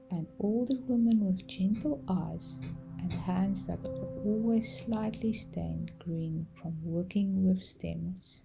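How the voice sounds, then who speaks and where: A woman storyteller narrating a bedtime meditation story in a soft, gentle, calming and unhurried way, with pauses. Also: mid-range voice, gravelly, poor audio. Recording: music behind the voice.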